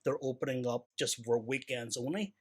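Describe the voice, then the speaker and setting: A man's voice: mid-range voice, normal speed, a crowd in the background. A man with a Northern Irish accent, born in Hong Kong with Hakka as his first language, telling stories as a podcast guest. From born in Hong Kong, grew up in Northern Ireland. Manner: chatty storyteller, repeats "so it is" and "flipping". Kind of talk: casual chat.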